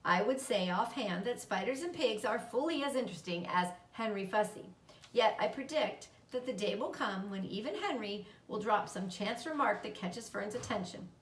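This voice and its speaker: A woman's voice: medium pitch, lively intonation, normal speed, lightly acted. A thoughtful old country doctor from a storybook, played by a woman, musing and reassuring in a philosophical way. The recording is roomy and echoey, slightly distant from the mic. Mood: pondering. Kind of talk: children's book read-aloud. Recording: roomy echo, distant microphone.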